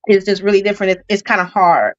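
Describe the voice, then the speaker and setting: A woman's voice: mid-range voice, fairly even intonation, fast, soft background music. A woman co-hosting a podcast, talking at length in a casual, rambling way. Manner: rambling, lots of fillers and restarts. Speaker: co-host. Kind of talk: casual interview chat. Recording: dull lo-fi audio.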